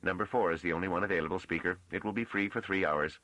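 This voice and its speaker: A man voicing a blandly innocent proctor, a minor official in an audiobook, quiet, literal and sparing with words. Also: deep voice, fast, no room sound, pitched above the performer's own voice, low-quality recording.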